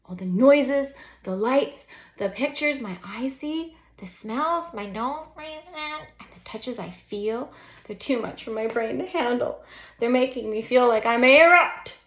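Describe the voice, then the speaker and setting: A woman voicing a gentle but overwhelmed mother in a storybook, patiently and tenderly explaining things to young children with soft emphasis. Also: on the high side, unhurried, pitched above the performer's own voice, close to an everyday voice. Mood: tender, emphatic. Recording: roomy, echoing sound.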